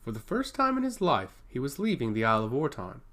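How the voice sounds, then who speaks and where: Male narrator for fantasy fiction, dramatic and highly varied in pitch. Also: wildly swooping pitch, fairly quick, a bit bright. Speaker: storyteller.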